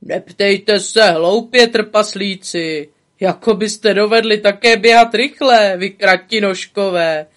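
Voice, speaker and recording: A woman's voice: medium pitch, natural voice. A woman voicing a boastful, bossy little male bug who teases others in a storybook. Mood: teasing. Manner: brash, teasing, showy.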